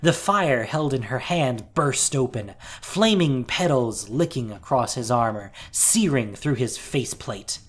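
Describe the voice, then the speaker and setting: A woman narrating fantasy fiction in a deliberate, theatrical and intense way. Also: very deep voice, animated, husky.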